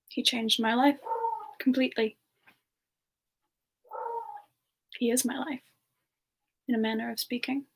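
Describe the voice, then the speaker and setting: A woman voicing a gentle, modest, lovestruck singer with ice powers, chatting softly and giggly in a semi-ASMR livestream Q&A. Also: fairly high pitch, creaky, breathy edge, rough recording. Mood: tender, adoring. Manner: soft, giggly, endearing chatter with pet names. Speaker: character streamer answering chat questions.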